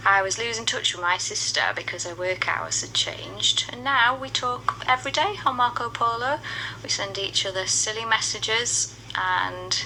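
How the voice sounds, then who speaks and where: Bright playful woman, noisy recording. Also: medium pitch, lively intonation, normal speed. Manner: playful, wry. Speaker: app user giving testimonial. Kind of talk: chatty video message. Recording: noticeable background noise, phone video message.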